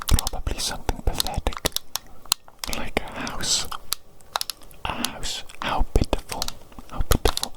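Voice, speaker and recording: A performer plays an evil laboratory doctor in an ASMR roleplay, whispering throughout. The delivery is very slow and fussy, with repeated coddling phrases for a beloved monster and scorn for ordinary humans. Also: close to an everyday voice, poor audio. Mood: disdainful, whispered. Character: evil mad scientist doctor, doting on monstrous creation, misanthropic.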